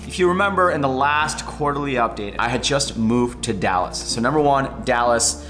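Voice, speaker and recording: An enthusiastic man in his thirties chats casually to camera in a living-room studio. His lively, quick delivery stays upbeat throughout. Plucked guitar music sits clearly behind his voice. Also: mid-range voice. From Bangladesh, raised in New York. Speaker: vlogger, weekly channel host. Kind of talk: casual vlog update to camera.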